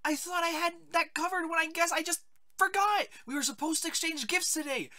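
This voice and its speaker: A woman's voice: very high-pitched, brisk, bright, forceful tone, no room sound, clean studio sound. A woman voicing an anxious, flustered young male monster boyfriend in a cartoon, stammering and fretful. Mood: panicked. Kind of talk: animated web series voice acting.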